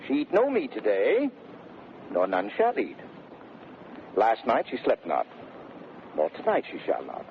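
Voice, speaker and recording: An actor playing a domineering, blustering Shakespearean husband in 1930s radio style, declaiming theatrically between bellows and smooth mock-sweetness. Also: male voice, lowish voice, wildly swooping pitch, measured pace, long pauses, full character voice. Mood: smug scheming. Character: domineering, blustering Shakespearean husband bullying his servants and bride. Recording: noisy, muffled 1930s radio recording.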